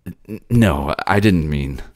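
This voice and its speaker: A man's voice: low voice, slow pace, a little mellow, no echo, studio quality, pitched above the performer's own voice. A man voicing an obsessive, volatile king in an audio romance drama, speaking with dramatic intensity and a put-on accent. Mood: desperate, pleading.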